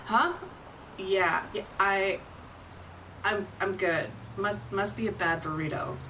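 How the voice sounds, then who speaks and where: A woman's voice: mid-range voice, sharp tone, far from the mic, a little hiss or hum, low-quality recording. A woman voicing a jittery young ferret shifter who stammers when nervous. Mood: uneasy. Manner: nervous, stammering, quick to threaten.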